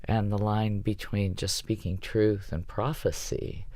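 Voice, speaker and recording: A woman's voice: rumbling low, flat delivery, measured pace, mellow, no echo. Dry-sounding woman on a panel. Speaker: director, panel guest. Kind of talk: casual panel discussion.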